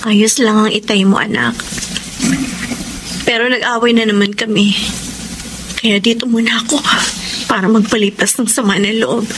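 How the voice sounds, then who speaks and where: High-voiced, long-suffering Filipina wife played by an actress. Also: female voice, fairly even intonation, normal speed, pauses often, noisy background, close to an everyday voice. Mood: earnest, resigned. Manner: emotional, earnest, pleading. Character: long-suffering, devoted, wounded wife and mother. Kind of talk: melodramatic Tagalog radio drama acting. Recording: music under the voice.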